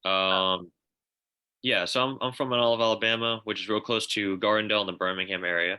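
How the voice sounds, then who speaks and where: A young man in his twenties calling in to a dating show, hesitant and filling pauses with "uh" and "um". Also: lowish voice, steady tone, bright, forceful tone, smooth. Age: 20s. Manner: hesitant, lots of "uh" and "um". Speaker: caller. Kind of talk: casual chat. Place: Alabama. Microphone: remote call mic.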